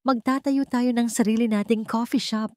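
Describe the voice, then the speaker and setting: A woman's voice: a little high, steady tone, moderate pace, mellow, dry recording, clean studio sound, pitched above the performer's own voice, lightly acted. A woman with a Filipino accent voicing a dreamy, fond teenage girl in a story, earnest and persuasive. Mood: dreamy, excited.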